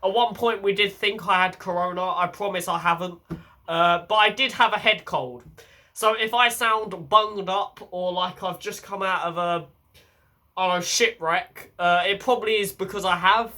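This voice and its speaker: A young man with a blocked, croaky voice from a head cold, talking bluntly and chattily as a self-help vlog host. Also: sits high, steady tone, moderate pace, sharp tone. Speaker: channel host.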